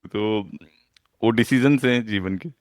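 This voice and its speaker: A man's voice: on the low side, measured pace, no room sound, clean studio sound. A man with an Indian accent in casual, reflective conversation, switching between Hindi and English phrases.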